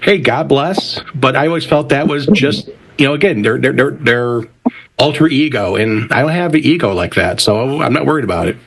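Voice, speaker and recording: A man's voice: medium pitch, expressive, moderate pace, gravelly, a little hiss or hum, poor audio. Plain-spoken man in casual chat. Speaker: DJ and panelist.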